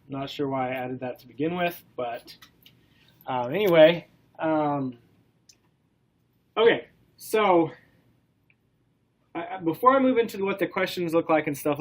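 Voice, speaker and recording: A man with a cold and a stuffy nose, giving a classroom lecture in a relaxed way, with many ums and questions to the class. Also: a little high, normal speed, long pauses. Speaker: college instructor.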